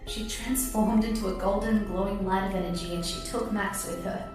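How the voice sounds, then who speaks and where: Woman as an earnest companion, deep echo. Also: fairly even intonation, normal speed, natural voice. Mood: grave. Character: earnest, worried female companion of an old professor. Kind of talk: audio drama acting. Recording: very echoey, with music underneath. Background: music.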